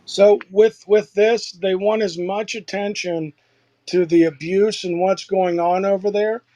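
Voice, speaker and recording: A man arguing in a heated online audio room, hurried and stumbling over restarts. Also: high-pitched, steady tone, dark tone, no room sound. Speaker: debate participant.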